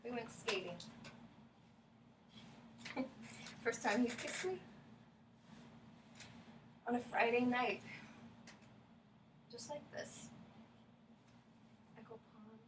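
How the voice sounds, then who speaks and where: A woman playing a hurt, disappointed wife who longs for closeness, speaking haltingly and pointedly in a staged play reading. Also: a little high. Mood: disappointed, wistful. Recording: noisy live room, distant mic.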